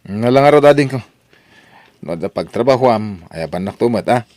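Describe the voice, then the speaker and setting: A man's voice: mid-range voice, expressive, normal speed, darkish tone, natural voice. A man acting as a frank friend and advisor in an Ilocano audio drama. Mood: questioning.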